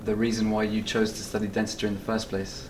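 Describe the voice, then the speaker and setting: A young man with a fairly low voice hosts the interview at a brisk, cheerful clip, dropping frequent mm-hmms. He sounds slightly distant from the microphone, over faint hiss. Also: monotone, echoey room. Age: young adult. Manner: quick and cheerful, lots of mm-hmms. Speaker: student society president, interviewer. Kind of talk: upbeat interview hosting. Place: dental school, indoors.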